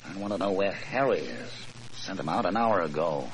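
An actor playing a tough, domineering gangster boss in a 1940s radio crime drama, blunt, slangy and commanding. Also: male voice, low-pitched, animated, normal speed. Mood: brusque, dismissive. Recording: noisy old 1940s radio recording.

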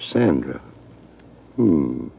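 A man's voice: rumbling low, very animated, very slow, creaky, a little hiss or hum, exaggerated voice. An actor playing a dry, wisecracking private detective who narrates his own case in an old radio drama, on a muffled old recording. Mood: wry. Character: wisecracking hard-boiled male private detective. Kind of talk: 1940s radio drama acting.

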